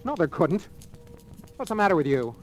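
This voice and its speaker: An actor playing an excitable office pal, eager and confiding, in slangy 1940s radio drama style. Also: male voice, high-pitched, normal speed. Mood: relieved, musing. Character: relieved, excitable male office pal of the clerk. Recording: old radio recording with music.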